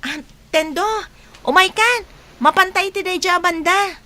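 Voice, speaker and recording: A woman's voice: very high voice, measured pace, bright, forceful tone, heavily acted. A woman with an Ilocano Filipino accent playing a chatty, apologetic young friend in a radio drama. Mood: friendly.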